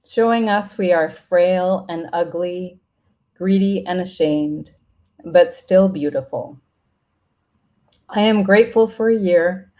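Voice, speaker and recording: Middle aged lady reading her own letter out, really slow and tender, voice a bit low. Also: warm tone. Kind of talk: reflective personal letter reading.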